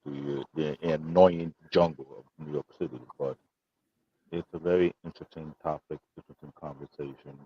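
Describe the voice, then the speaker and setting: A man's voice: steady tone, moderate pace, dark tone, breathy edge, dry recording. A man, speaking earnestly and formally on a live panel, repeating himself for emphasis. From Nigeria. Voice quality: deep voice. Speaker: panel guest. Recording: dull muffled voice-chat audio.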